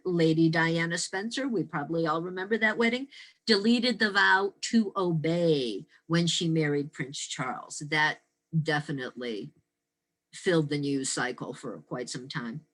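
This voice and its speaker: An American woman in her sixties giving a prepared talk as a storyteller, with deliberate, emphatic phrasing and dramatic pauses. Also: fairly low pitch, a bit bright, poor audio. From Detroit, Michigan, United States. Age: 60s. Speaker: storyteller and speaker. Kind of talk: prepared historical talk with personal stories.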